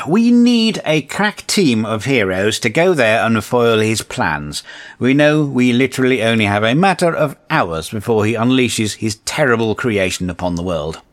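A man's voice: medium pitch, very animated, moderate pace, close to an everyday voice. A man playing an earnest, officious and exasperated agency chief in a comedic audio drama. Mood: urgent, exasperated. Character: exasperated, earnest male agency chief briefing misfit heroes.

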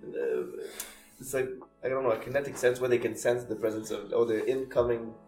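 A young man with a Kenyan accent chatting on a podcast, quick and jokey, hopping from one idea to the next. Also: mid-range voice, animated, darkish tone, echoey room, faint music behind, poor audio. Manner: quick, jokey, jumps between ideas. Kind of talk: casual podcast chat.

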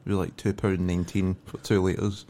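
Low-voiced sweary Glaswegian man. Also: little pitch movement, brisk, warm tone, a little hiss or hum. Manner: sweary, blunt, wry storytelling. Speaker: comedian guest. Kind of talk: casual podcast chat.

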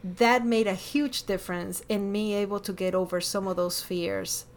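A woman with a Latin American Spanish accent talking casually and expressively as a podcast host, with animated emphasis. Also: mid-range voice, moderate pace. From born in Miami, lived over a decade in Ecuador. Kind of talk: casual personal conversation.

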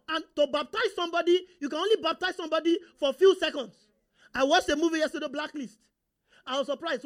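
A man's voice: extremely high pitch, fairly bright tone. A male preacher with a Nigerian accent, whose first language is Yoruba, giving a sermon and constantly asking the congregation questions and for agreement. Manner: call-and-response, rhetorical questions to the congregation.

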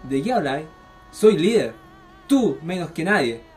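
A man's voice: fairly high pitch, sing-song, unhurried, a little mellow, faint music behind. A young Chilean man acting a needy, boastful, troubled teenage boy in a radio theatre piece. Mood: defiant. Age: young adult. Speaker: student performer.

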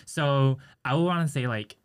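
A young adult from Taiwan who was later raised in the United States, chatting casually in a halting way and circling back over phrases. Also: mid-range voice, clear, resonant tone, no echo. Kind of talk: casual chat.

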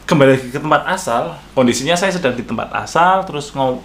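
A man from outside the capital with a thick Javanese-tinged Indonesian accent, chatting casually and thoughtfully, often pausing on fillers. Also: medium pitch, expressive, a bit bright. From Indonesian regions outside Jakarta. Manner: thoughtful, hesitant, many fillers. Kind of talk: casual chat. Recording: faint background noise.

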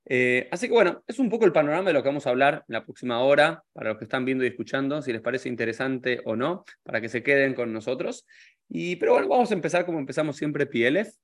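A man's voice: medium pitch, animated, fast. A man hosting an interview podcast, friendly, talkative and candid. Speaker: podcast host.

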